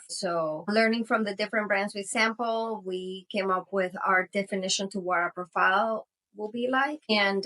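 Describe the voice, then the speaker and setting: A woman's voice: medium pitch, fairly bright tone, rough recording. A Venezuelan-born woman, a native Spanish speaker in her forties, telling her story casually in an interview, full of "you know" and light laughs. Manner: rambling, many "you know" fillers, laughs often. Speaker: tequila brand co-founder, guest.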